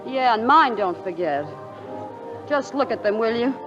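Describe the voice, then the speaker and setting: Spirited, sarcastic young wife, swooping delivery, old radio comedy, dull recording with music. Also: female voice, on the high side, lively intonation, measured pace. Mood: sarcastic complaining. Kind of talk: 1940s radio comedy acting.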